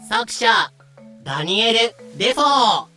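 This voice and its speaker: A woman's voice: on the high side, very animated, measured pace, sharp tone, faint music behind, heavily acted. A woman narrating a scripted story in an audio drama.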